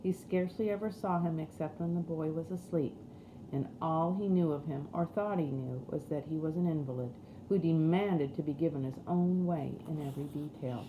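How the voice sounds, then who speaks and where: A woman's voice: deep voice, normal speed. A woman reading a storybook aloud as scripted narration. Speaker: audiobook reader. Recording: roomy echo with faint background noise.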